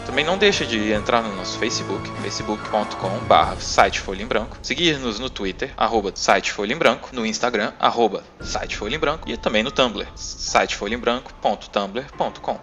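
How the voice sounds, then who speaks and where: A man's voice: lowish voice, animated, a little fast, few pauses, fairly bright tone. A Brazilian man narrating a tabletop role-playing game as game master and podcast host, with music behind him.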